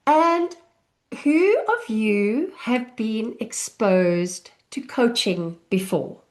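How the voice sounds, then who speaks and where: South African woman, 50s, parent coach. Slow, friendly, conversational delivery over a video call. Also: fairly high pitch, lively intonation. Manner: warm, unhurried, chatty with small laughs. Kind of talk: live online masterclass.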